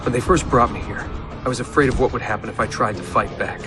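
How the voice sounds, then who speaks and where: A man's voice: medium pitch, fast talker, natural voice. An actor playing a grave, resolute captive man, narrating a video game cinematic. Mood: grave resolve. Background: music.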